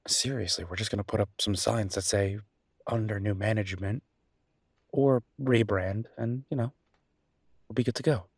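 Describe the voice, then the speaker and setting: A man playing an earnest, down-to-earth chef boyfriend in an audio roleplay, talking casually and a little hesitantly in a husky voice. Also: fairly low pitch, fairly even intonation, moderate pace, long pauses, no echo, studio quality. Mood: hopeful, musing. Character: enthusiastic down-to-earth chef boyfriend opening a mountain restaurant. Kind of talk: audio roleplay acting, casual one-sided conversation.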